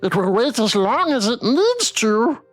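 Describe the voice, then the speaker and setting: Woman doing a high, frightened little toy. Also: very animated, measured pace, dry recording, soft background music. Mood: grave warning. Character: frightened small old toy, pleading and warning. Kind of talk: visual novel character voice read aloud.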